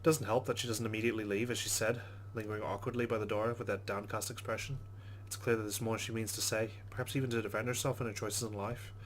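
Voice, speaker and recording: A man's voice: fairly low pitch, flat delivery, fast talker, a little hiss or hum. A man playing through a story game, reading its narration aloud with casual asides. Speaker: let's play host.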